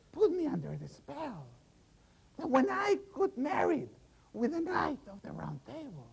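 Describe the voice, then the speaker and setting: A man voicing Lady Ragnell, an enchanted young noblewoman in a spoken fairy tale, with a high, earnest voice. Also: creaky, full character voice, rough recording. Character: enchanted young noblewoman, bride under a spell.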